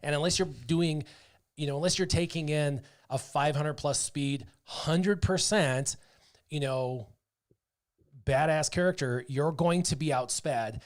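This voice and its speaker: A man's voice: on the high side, unhurried. Chatty man explaining game strategy. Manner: chatty, excitable, full of fillers like "um" and "like". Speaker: streamer playing a mobile game. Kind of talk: casual livestream commentary.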